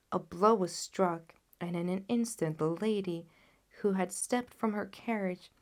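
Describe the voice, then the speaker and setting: Woman reading an old classic story audiobook style, expressive storyteller, normal mid pitch. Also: vocal fry. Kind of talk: scripted literary narration.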